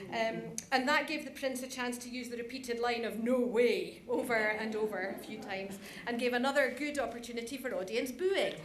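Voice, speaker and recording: A woman giving an informal conference presentation about a show in a hesitant, conversational way. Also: fairly high pitch. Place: conference room, live presentation. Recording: echoey room with audience noise.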